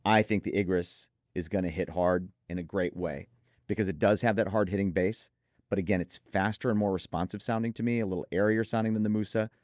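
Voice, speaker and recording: A man's voice: sits low, steady tone, a little fast, a little mellow, no room sound, studio quality. A man co-hosting a product review, talking conversationally with enthusiastic, firm opinions. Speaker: headphone show co-host reviewer.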